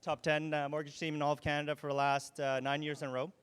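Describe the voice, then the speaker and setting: A man pitching his mortgage team on stage, jokey and laughing, with lots of fillers. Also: level tone, fast, fairly bright tone, clean tone. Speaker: mortgage broker, sponsor. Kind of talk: live sponsor pitch. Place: event hall stage. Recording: long hall reverb.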